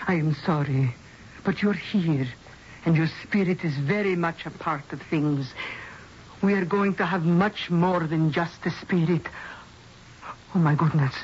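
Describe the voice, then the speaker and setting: An actress playing a self-assured, mysterious spirit medium in a radio mystery drama, with grand, measured, hushing phrasing. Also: female voice, deep voice. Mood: commanding, serene. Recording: dull, hissy old radio broadcast.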